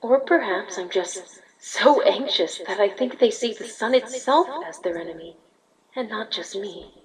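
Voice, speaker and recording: A woman's voice: mid-range voice, unhurried, lightly acted. A woman voicing an anxious, regal pony princess musing to herself in a fan fiction reading. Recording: noisy, quiet hobby recording.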